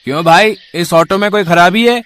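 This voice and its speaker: A man's voice: sits high, moderate pace, fairly bright tone, smooth, voice pushed up. A man voicing a friendly auto dealer who questions and advises emphatically in an acted moral story. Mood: helpful, advising.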